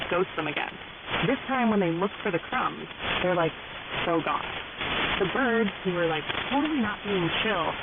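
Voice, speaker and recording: Gossipy young Valley Girl storyteller, played by a woman, California slang accent, comic retelling, heard through very noisy, narrow shortwave radio. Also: mid-range voice. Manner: exaggerated slang-heavy delivery packed with "like" and "totally". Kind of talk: scripted comic fairy-tale retelling, radio broadcast.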